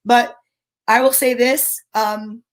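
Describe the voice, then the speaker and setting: A woman's voice: a little high, steady tone, slow pace. A middle-aged American woman chatting casually as a talk show co-host, blunt and emphatic in her opinions. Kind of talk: casual chat.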